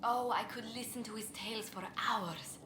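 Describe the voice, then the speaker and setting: A woman playing a courteous, tactful court diplomat in a fantasy game, speaking in a polite, measured, formal way. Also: a little high, lightly acted. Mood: pleased. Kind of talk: scripted game dialogue. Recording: echoey room, clearly noisy game capture.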